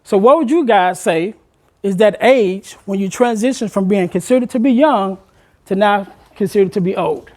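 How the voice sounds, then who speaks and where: A man, a motivational speaker addressing a room of high school students, asking them questions and urging them to take part. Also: moderate pace, darkish tone. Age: 40s. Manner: engaging the audience with questions, conversational and encouraging.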